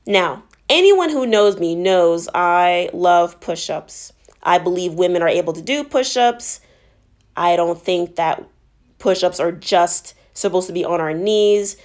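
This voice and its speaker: A woman's voice: fairly low pitch, animated, unhurried. A woman narrating a workout as a fitness instructor, explaining each move in an encouraging, instructional way.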